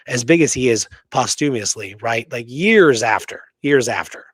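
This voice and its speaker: A man's voice: mid-range voice, animated, normal speed, fairly bright tone, husky, dry recording. Man in casual, emphatic history talk, dry sound. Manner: conversational, emphatic, often checks with "right?". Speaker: podcast co-host. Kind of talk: casual history discussion with some reading aloud.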